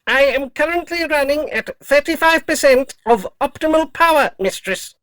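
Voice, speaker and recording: A woman's voice: high-pitched, moderate pace, fairly bright tone, no echo. A woman playing a polite, loyal robot dog in an audio drama, speaking in clipped, formal, literal phrases. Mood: dutiful, matter-of-fact.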